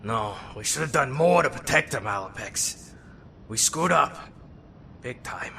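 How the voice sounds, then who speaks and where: A man playing a gruff, hotheaded teenage turtle weighed down by guilt, blunt and brooding, in an audio drama. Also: mid-range voice, animated, a little slow, bright, forceful tone, a little hiss or hum, full character voice. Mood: guilty, bitter.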